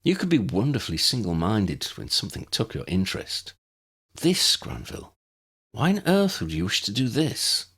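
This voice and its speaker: A man voicing a gentle, courteous older wizard in an audiobook, soft-spoken and old-fashioned in his manner. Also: on the low side, big pitch swings, moderate pace, husky, exaggerated voice. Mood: kindly, puzzled. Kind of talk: audiobook character voice.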